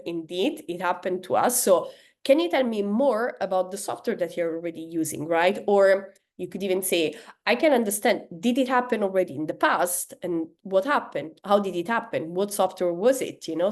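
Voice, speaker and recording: Italian-accented woman, sales trainer, casual lesson delivery, acts out sample dialogues. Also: on the low side. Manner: conversational, lots of "right?" and "uh" fillers, acts out sample dialogues.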